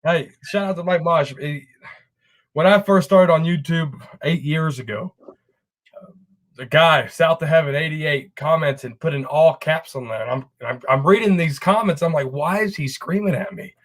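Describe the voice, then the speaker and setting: A man from Texas in a casual sports chat, relaxed and jokey, often laughing while he talks. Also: on the high side, normal speed. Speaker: fellow show host.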